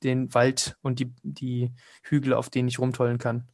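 A man's voice: medium pitch, completely flat delivery, normal speed, a little mellow, no room sound. Young man, casual and chatty interviewee, dry close recording. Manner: chatty, laughs often, hesitant fillers.

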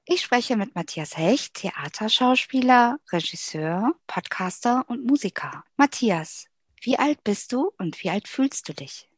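Woman interviewer, slightly husky, plain even questions. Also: a little high, measured pace.

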